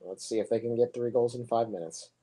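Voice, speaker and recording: Young male soccer play-by-play voice: low, monotone, mellow and husky, relaxed and rambling. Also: brisk, low-quality recording. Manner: relaxed, rambling, chatty asides between plays. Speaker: soccer commentator. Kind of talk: casual live play-by-play commentary.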